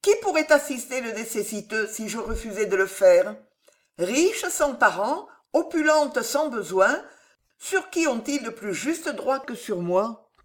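A woman's voice: fairly high pitch, expressive, measured pace, pitched above the performer's own voice. Earnest young heiress, voiced by a woman narrator. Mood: earnest pleading. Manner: earnest, polite, eloquent. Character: sincere, generous young heiress, earnest and firm. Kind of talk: audiobook character voice.

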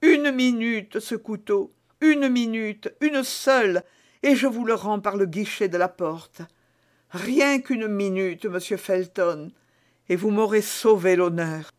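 A man's voice: high voice, slow, voice pushed up. A man reading aloud and voicing a scheming imprisoned noblewoman whose begging turns to sly, calculating asides. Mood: pleading. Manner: breathless impassioned pleading, swinging to sly asides.

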